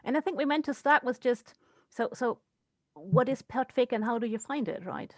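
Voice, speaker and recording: A woman's voice: a little high, lively intonation, normal speed, no room sound. A woman speaking informally as co-host of an online session, heard on a voice-only call. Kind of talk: informal webinar talk.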